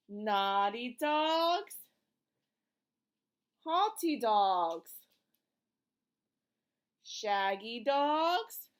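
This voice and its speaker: A woman reading a picture book aloud to young children in an encouraging, sing-song teacher's manner, asking questions and drawing out short phrases. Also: on the high side.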